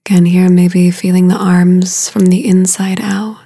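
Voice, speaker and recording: A woman leading a guided meditation in a soothing, unhurried way, with a soft, breathy voice and long pauses between short phrases. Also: lowish voice, level tone, no room sound, studio quality.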